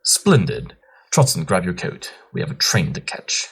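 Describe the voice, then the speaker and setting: A man's voice: sits low, huge pitch swings, normal speed, slight background noise. A man playing a brilliant, eccentric pony detective who talks in a haughty, wordy, theatrical way over music in an audio drama. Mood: brisk. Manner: theatrical, verbose, self-assured. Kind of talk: scripted audio drama acting.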